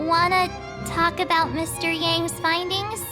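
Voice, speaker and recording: A woman's voice: very high-pitched, flat delivery, a little slow. A woman voicing a perky, friendly teenage girl adventurer in a video game, with a girlish, chummy way of talking. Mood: cheerful, friendly. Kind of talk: animated video game acting. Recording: long reverb, music behind.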